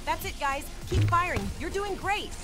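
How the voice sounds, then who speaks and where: A woman playing a confident adult monster fighter who mentors kids with calm command in a cartoon comic dub. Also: very high voice, moderate pace, music in the background. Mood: rallying.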